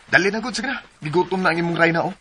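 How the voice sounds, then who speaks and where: A man's voice: high voice, very fast, fairly bright tone, a little hiss or hum. A man acting in a radio drama as an easygoing, protective young elder brother. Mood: easygoing, coaxing.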